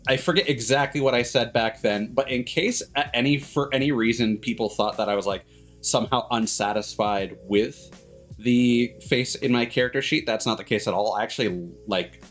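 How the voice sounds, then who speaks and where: A man hosting a livestream in casual chat, rambling and haltingly backtracking mid-sentence. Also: mid-range voice. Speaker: streamer host. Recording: music playing behind the voice. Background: background music.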